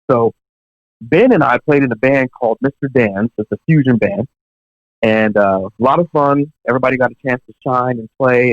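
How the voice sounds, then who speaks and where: A young man, a professional drummer, telling career stories in a relaxed, chatty way with lots of fillers and asides. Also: mid-range voice, dry recording. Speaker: professional touring drummer, podcast guest. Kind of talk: casual storytelling in an interview. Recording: dull, dry lo-fi podcast audio.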